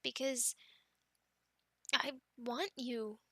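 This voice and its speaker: Girl doing a sweet teasing gf, high bright voice, giggly, whispers a lot, slow, close dry mic. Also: steady tone, husky, no echo, heavily acted. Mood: reassuring. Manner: soft and giggly, drifting into whispers. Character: affectionate teasing young girlfriend gardening. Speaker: ASMR roleplay performer. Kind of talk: ASMR roleplay.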